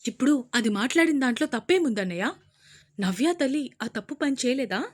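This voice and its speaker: A woman voicing a quarrelsome middle-aged aunt who shouts accusations in a Telugu audio story. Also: lively intonation, brisk, slightly rough. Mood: angry. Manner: shrill, accusing.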